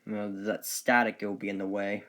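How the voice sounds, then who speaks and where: A man presenting his collection in a casual, unscripted video, speaking haltingly and often trailing off. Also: on the low side, level tone, a bit bright. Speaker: collector presenting weather radios. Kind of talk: casual unscripted show-and-tell.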